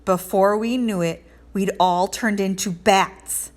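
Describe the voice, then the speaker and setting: A woman narrates a children's story as a teacher would in a read-aloud. Her voice sits at a middle pitch, and her delivery is expressive. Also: slow pace.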